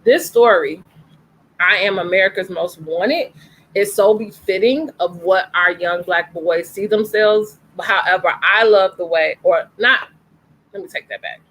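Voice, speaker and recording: A woman's voice: medium pitch, a little slow, fairly bright tone, low-quality recording. An earnest woman hosts a family story time online, talking over a video call from home. Her tone is encouraging and conversational. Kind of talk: casual chat and introduction.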